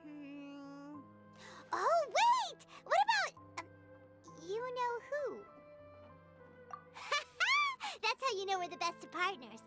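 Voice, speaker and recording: A woman voicing a small, excitable female sprite companion in a video game who talks about herself in the third person. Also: extremely high pitch, slow pace, heavily acted. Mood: chirpy. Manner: excitable, refers to herself by name. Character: tiny floating female companion sprite, chirpy and eager. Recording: game audio with loud music behind.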